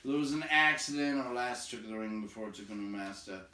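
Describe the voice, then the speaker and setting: A man reading a story aloud in an expressive, deliberate, dramatic narration. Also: lowish voice. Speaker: storybook reader. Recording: roomy, distant, faint background noise.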